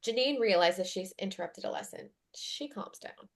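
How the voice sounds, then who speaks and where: A woman's voice: mid-range voice, animated, normal speed. A woman reading out stage directions as scripted narration at a table read.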